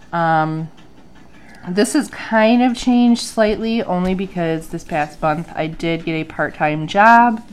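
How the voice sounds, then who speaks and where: Low kinda nasal mom-voice, rambling with ums at her desk, faint hiss. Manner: chatty, with frequent ums. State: nasal from a sinus infection. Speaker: bullet journal vlogger. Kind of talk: casual chat. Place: home desk under an overhead light.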